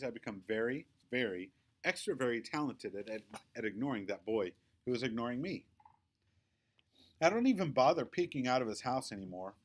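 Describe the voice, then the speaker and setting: Male storybook reader, mid-pitched, expressive read-aloud of a children's novel. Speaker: audiobook-style reader.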